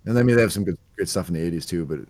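Relaxed man co-hosting a music podcast. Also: deep voice, very fast. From Canada. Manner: relaxed, laughing, slight stumbles over words. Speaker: podcast co-host presenting a countdown. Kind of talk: casual chat about music.

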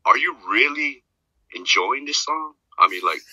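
A man talking casually as a podcast guest, rambling and repeating himself, with a deep voice. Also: expressive, a little slow, sharp tone, breathy edge, no echo, poor audio. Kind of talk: casual chat.

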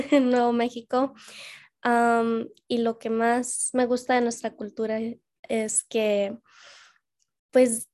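A young woman from New Mexico chatting casually as a podcast guest, relaxed and full of fillers. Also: on the high side. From New Mexico, USA. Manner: relaxed, many fillers, laughs easily. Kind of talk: casual conversation.

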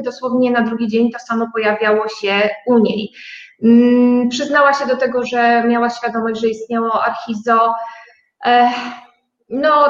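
A woman guest telling her company's story in a conversational interview, speaking haltingly with drawn-out hesitations. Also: on the high side. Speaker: guest, start-up co-owner. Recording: dull, roomy sound.

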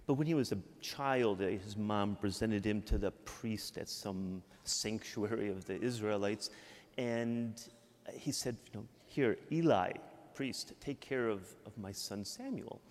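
A man's voice: lowish voice, unhurried, darkish tone. A Midwestern American man from Michigan preaching a sermon as a priest, talking in a conversational way and often adding "right?". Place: church. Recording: long church reverb.

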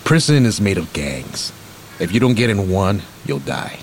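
Low-voiced, swooping streetwise ex-con uncle. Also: male voice, sing-song, moderate pace, slight background noise. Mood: boastful, playful. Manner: rambling, candid, streetwise. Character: rambling, streetwise ex-convict uncle, boastful but affectionate. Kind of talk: naturalistic radio play acting.

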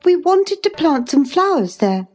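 Woman acting defiant young lady, high-pitched. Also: very animated, measured pace, darkish tone, faint music behind. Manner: insolent, taunting, impetuous. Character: defiant, reckless, sharp-tongued young lady. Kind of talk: dramatic audiobook character reading.

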